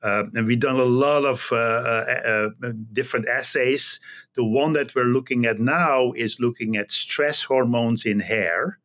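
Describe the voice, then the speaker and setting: A middle-aged man from the Netherlands with a Dutch accent explains his research in a halting way, often repeating words, on a video call from an office. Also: mid-range voice, fairly bright tone. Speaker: guest, animal genetics researcher. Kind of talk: explanatory interview talk. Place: small indoor office. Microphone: computer video call.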